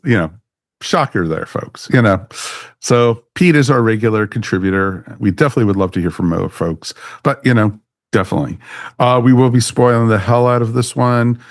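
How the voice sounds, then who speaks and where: A man's voice: mid-range voice, fairly quick, dry recording, studio quality. A man hosting a podcast in casual chat, rambling along with a fan's enthusiasm. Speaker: podcast host.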